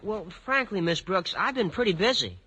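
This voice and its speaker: High, swooping teenage schoolboy. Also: male voice, very animated, moderate pace, bright, forceful tone, full character voice. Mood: awkward. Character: awkward, earnest teenage schoolboy. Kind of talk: 1940s-50s radio comedy acting. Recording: noisy old radio recording.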